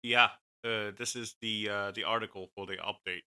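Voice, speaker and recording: A man's voice: lowish voice, steady tone, moderate pace, pushed and bright, clear, resonant tone. A man co-hosting a casual tech podcast, talking hesitantly with many fillers and frequent laughs. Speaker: podcast co-host.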